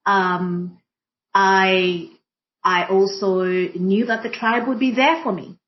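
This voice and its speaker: A woman with a Kenyan accent, whose first language is Swahili, speaks as a guest in a reflective discussion. Her mid-pitched delivery is deliberate, often rephrasing for emphasis. The audio is a little dull and lo-fi. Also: steady tone. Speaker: panel guest, diversity and inclusion practitioner. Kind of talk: reflective personal account in a group discussion.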